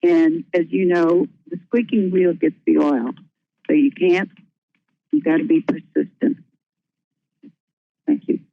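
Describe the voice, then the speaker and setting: Panel speaker, raspy fry in the voice, informal advice, dry phone sound. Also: mid-range voice, slow, long pauses, no echo. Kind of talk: informal, advisory panel remarks. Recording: thin phone-line audio.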